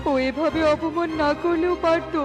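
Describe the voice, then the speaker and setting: Resentful male parrot schemer; very high, indignant cartoon voice over a music bed. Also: level tone, moderate pace. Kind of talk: children's cartoon voice acting.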